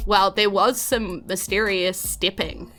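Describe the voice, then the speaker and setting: A woman's voice: medium pitch, fairly even intonation, slow pace, pushed and bright. A woman with a New Zealand accent narrating a tabletop role-playing podcast as game master, with playful, theatrical storytelling.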